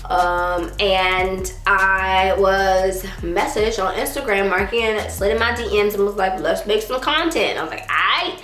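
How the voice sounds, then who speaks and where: A woman in her twenties from Texas, chatting casually as she answers viewer questions, giggling often and sometimes trailing into a soft mumble. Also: medium pitch, a little slow, hardly any pauses. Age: 20s. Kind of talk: casual chat. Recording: roomy echo with faint music.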